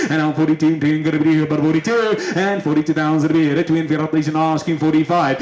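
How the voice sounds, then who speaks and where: A South African man calls a livestock sale in a fast, rhythmic chant that hardly rises or falls in tone. His voice sits a little high for a man, and the echo makes the room sound large. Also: a little mellow. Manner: rapid rhythmic chanting, switching between English and Afrikaans. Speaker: livestock auctioneer. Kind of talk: auction bid chant and lot commentary.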